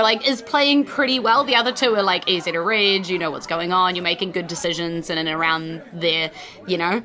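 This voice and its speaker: A woman's voice: mid-range voice, sharp tone. A woman, narrating a tabletop game as game master in an informal, conversational way with casual asides.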